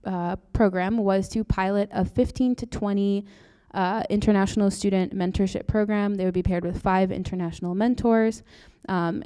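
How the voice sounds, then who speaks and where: A soft-spoken young woman from Brazil, a doctoral student giving an earnest presentation into a microphone in a lecture room. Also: mid-range voice, clear, resonant tone. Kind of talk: conference presentation. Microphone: handheld or podium mic.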